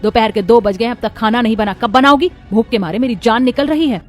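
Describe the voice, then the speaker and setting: A woman's voice: a little high, a little fast, faint music behind, lightly acted. A woman voicing a harsh, sarcastic, bullying middle-aged mother-in-law in a cartoon story. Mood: sarcastic, angry.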